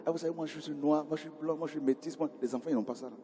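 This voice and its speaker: A man preaching a sermon in a conversational way, often questioning the congregation and moving from quiet asides to loud cries. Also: fairly high pitch, very fast, a little hiss or hum. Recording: hall reverb with faint ambience.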